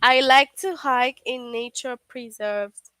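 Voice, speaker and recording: A woman's voice: high-pitched, steady tone, takes it slowly, bright, forceful tone, smooth, no room sound. A woman teaching a live online grammar lesson, patiently checking understanding with frequent "okay?".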